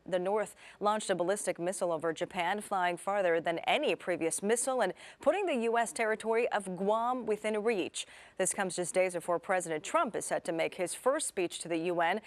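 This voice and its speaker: A woman's voice: mid-range voice, no room sound. A woman with an American accent reading scripted television news as an anchor. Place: TV studio.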